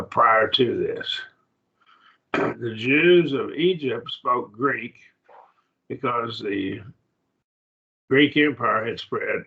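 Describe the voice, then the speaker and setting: A man teaching a college-level Bible study class in a plain, halting lecture with restarts and asides. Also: on the low side, gravelly, bright, forceful tone, highs cut off.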